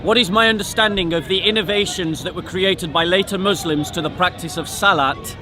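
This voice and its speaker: A man's voice: high voice, fairly quick, music in the background. A man debating religion in the open air, insistent and often repeating himself. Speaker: street debater. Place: outdoors in a busy public park. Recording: busy outdoor crowd behind. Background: crowd chatter.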